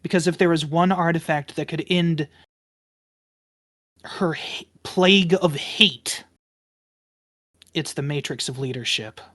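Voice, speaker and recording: A woman playing a tabletop game, talking casually and haltingly as she describes her character and repeats phrases. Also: low-pitched, a bit bright. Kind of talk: casual roleplay table talk.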